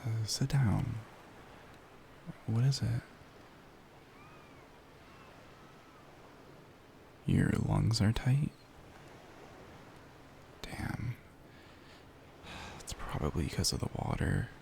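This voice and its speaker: Man acting as soft, low-voiced, slow-talking worried boyfriend. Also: very animated, slight background noise, exaggerated voice. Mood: concerned, gentle. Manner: soft, breathy, soothing, often near whisper. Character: caring, worried young boyfriend comforting his partner. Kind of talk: intimate ASMR boyfriend roleplay.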